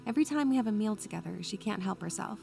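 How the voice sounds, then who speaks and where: A woman's voice: medium pitch, lively intonation, rapid-fire, creaky, dark tone, faint music behind. A woman playing a bookish, anxious young student who narrates her memories in a literary, atmospheric style. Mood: brooding, wistful. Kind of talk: atmospheric first-person scripted narration.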